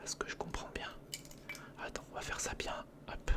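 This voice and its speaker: A man speaks in a breathy whisper close to the microphone, murmuring casual hands-on ASMR commentary in fragments, as if thinking aloud. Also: moderate pace. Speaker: ASMR video creator. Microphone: close recorder microphone.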